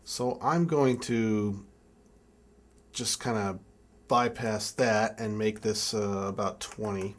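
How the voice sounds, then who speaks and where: A man in his twenties giving a casual hands-on tutorial, thinking aloud in a halting way as he works. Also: lowish voice, fairly even intonation. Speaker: music keyboard tutorial presenter.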